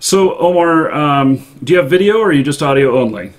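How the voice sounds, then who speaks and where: A man hosting a live show, chatting off the cuff with callers in a polite, halting and hesitant way. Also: fairly high pitch, lively intonation. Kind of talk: unscripted call-in show chat.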